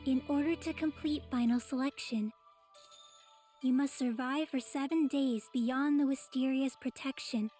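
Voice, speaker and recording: A serene, solemn little girl, formal and courteous. Her high voice is mellow and calm, like a ceremonial guide in an anime game. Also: a little slow, soft background music.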